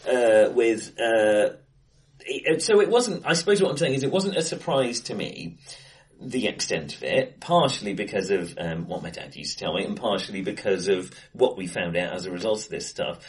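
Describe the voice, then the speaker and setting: Podcast co-host: male, animated pitch, casual and searching delivery, recorded in an echoey room. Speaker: podcast co-host reviewing films. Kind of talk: casual film discussion. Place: indoors, face to face in a reverberant room. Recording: echoey, acoustically bright room.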